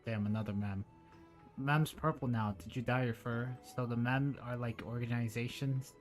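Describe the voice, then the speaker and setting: Male game streamer: mid-pitched, casual, jokey rambling, faint game music underneath. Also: fairly even intonation, moderate pace. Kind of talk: casual live game commentary.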